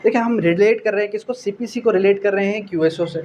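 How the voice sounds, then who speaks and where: High-voiced Pakistani man lecturing. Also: fast, a little mellow, a little hiss or hum. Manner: didactic, asking questions and answering them; code-switching between Urdu and English legal terms. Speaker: law lecturer. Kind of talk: explanatory exam-prep lecture.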